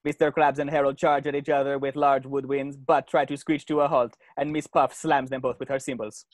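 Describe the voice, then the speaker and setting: A man's voice: mid-range voice, level tone, brisk, no echo, soft background music. Man reading stage directions, dry close sound. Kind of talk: scripted narration in a cartoon table read.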